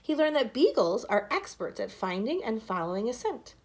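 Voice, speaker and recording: A woman's voice: a little high, sing-song, normal speed. A woman reading a scripted children's story aloud as narrator. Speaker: storybook reader.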